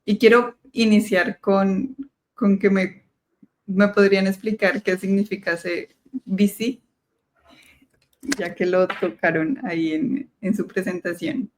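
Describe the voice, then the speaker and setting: A woman's voice: mid-range voice, steady tone, slow pace, a little hiss or hum, poor audio. A woman hosting a podcast, opening the show and asking friendly questions. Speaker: podcast host.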